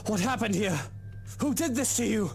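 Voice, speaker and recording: A man's voice: high voice, animated, normal speed. A protective young man from a visual novel,. His raised, light voice is concerned and soothing. Faint music plays underneath.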